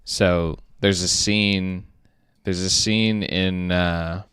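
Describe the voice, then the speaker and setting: A man who makes an animated series, chatting casually on a live stream at his desk, rambling with many fillers and restarts. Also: deep voice, very slow, smooth, no echo, clean studio sound. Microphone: webcam setup at a desk.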